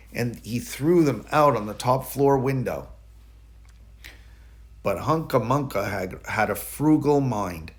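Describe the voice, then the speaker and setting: Expressive man reading a bedtime storybook. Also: medium pitch. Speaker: storybook reader.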